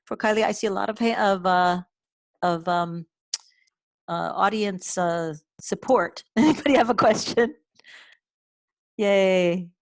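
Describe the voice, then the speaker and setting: A woman moderates an informal online meeting in a mid-range voice with a dry sound. She is encouraging, and her sentences come out haltingly. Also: medium pitch, dry recording. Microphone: video call.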